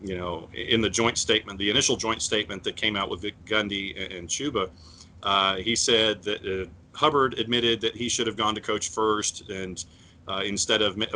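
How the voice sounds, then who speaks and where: A man co-hosting a sports podcast, talking in a measured way with many fillers and restarts. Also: on the low side, fairly even intonation, sharp tone, a little hiss or hum. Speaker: podcast co-host. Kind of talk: casual sports talk.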